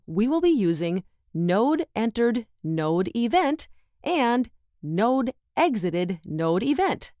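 A woman narrates a scripted tutorial, giving step-by-step instructions. Her pitch moves up and down in an animated way. The recording is dry, as from a close voice-over microphone. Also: dry recording.

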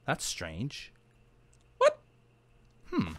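A man chatting casually while playing a game with friends, excitable and playful, gushing with enthusiasm. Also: mid-range voice, drawn-out words, no room sound. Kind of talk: casual gameplay chat.

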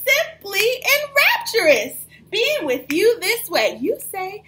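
A young woman teacher reading aloud to small children in a rhythmic, sing-song rap, animated and encouraging. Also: very high voice, takes it slowly. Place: small plain room indoors. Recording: faint background noise.

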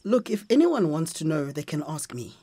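Low-voiced South African woman teacher. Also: big pitch swings, normal speed, mellow, husky, full character voice. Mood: weary. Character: gentle, weary transgender woman, a schoolteacher. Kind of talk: radio drama acting.

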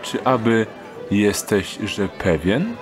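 A man playing a wary, wry thief in a fantasy audio drama, muttering deliberately. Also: on the low side, big pitch swings, music in the background. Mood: playful.

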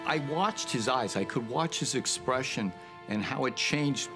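A middle-aged man telling his own survival story in an interview, in a deliberate, dramatic way. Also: medium pitch, animated. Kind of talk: first-person interview storytelling. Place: dark interview studio. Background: music.

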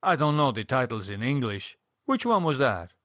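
A man's voice: medium pitch, big pitch swings, moderate pace, fairly bright tone, no room sound, studio quality. A man playing a laconic, unruffled foreign man.

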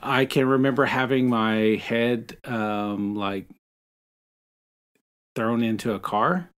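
A man's voice: medium pitch, fairly even intonation, slow pace, fairly bright tone, clear, resonant tone. American man telling stories casually. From Texas, United States. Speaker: podcast co-host. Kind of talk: casual conversational storytelling.

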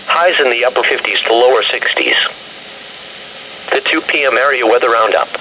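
A synthetic man's voice reading a scripted weather bulletin in formal, measured, clipped phrases through a handheld radio speaker. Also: mid-range voice, over a noisy crowd, low-quality recording. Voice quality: synthetic text-to-speech voice. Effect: played through a handheld radio speaker. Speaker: automated weather radio announcer.

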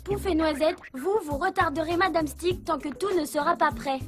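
A woman voicing an eager, bossy little boy in an animated series, earnest and take-charge. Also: extremely high pitch, normal speed, soft background music. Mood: determined. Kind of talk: French animated series dubbing.

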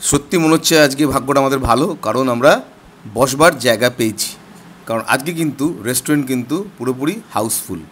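A middle-aged man vlogging a family meal, chatty and quick, directing whoever holds the camera. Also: mid-range voice. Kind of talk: casual vlog narration at a meal. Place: busy wood-panelled restaurant, indoors. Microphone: action camera at the table. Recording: loud restaurant crowd noise. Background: crowded restaurant chatter and clinking dishes.

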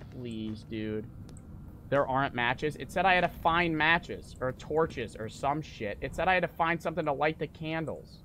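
A young man streaming a horror game, panicking, swearing and yelling in fright while reading notes aloud. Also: medium pitch, a little hiss or hum. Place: small room at home. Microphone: desk mic on stand.

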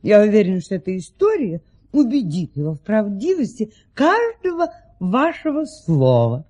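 Mellow, husky, gracious duchess. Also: female voice, mid-range voice, very animated, normal speed. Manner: refined, courtly, deferential. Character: gracious, deferential duchess at a storyteller's gathering. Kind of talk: theatrical radio play acting.

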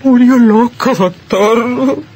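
A woman playing an anguished, doting mother in an old radio drama, speaking haltingly in distress. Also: on the high side, darkish tone, husky, heavily acted, rough recording. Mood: anxious, grieving. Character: anguished, doting mother of a mentally ill daughter.

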